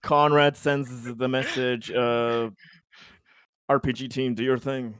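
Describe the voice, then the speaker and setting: A man's voice: mid-range voice, level tone, slow, fairly bright tone, clear, resonant tone, dry recording, studio quality. A man chatting casually as a player in a tabletop game.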